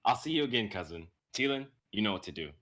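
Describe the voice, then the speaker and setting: A calm young warrior, played by a man, speaks in a lowish voice. He is resolute and self-sacrificing, the steady hero of a fantasy drama. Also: fast. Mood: resolute, tender. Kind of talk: dramatic script reading.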